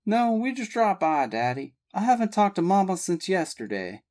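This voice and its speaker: A woman's voice: mid-range voice, sing-song, moderate pace, darkish tone, pitched above the performer's own voice, close to an everyday voice. A man voicing an outspoken young woman, speaking emphatically. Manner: emphatic, exclaiming. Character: spirited young Southern woman, outspoken and teasing.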